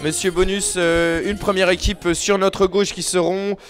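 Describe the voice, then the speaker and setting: High-voiced man hosting over music. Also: level tone, measured pace. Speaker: game show host. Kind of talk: lively banter hosting a music quiz. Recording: loud music behind the voice.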